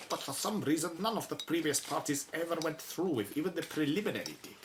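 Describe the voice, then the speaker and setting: A man's voice: fairly high pitch, big pitch swings, quick pace, slight background noise. A man playing a courteous but firm archaeologist in a radio drama. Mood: friendly, thoughtful.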